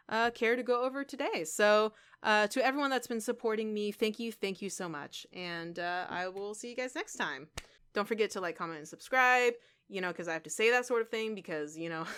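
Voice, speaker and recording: Clear-voiced woman, chatty vlogger. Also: mid-range voice, bright, forceful tone. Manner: rambling, conversational, with emphatic asides. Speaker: YouTuber and game streamer. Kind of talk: casual vlog chat to viewers.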